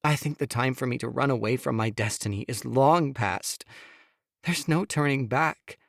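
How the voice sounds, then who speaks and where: A man's voice: medium pitch, moderate pace, vocal fry, fairly bright tone, dry recording, studio quality, voice pushed up. A man voicing a tearful pleading young mother. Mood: anxious.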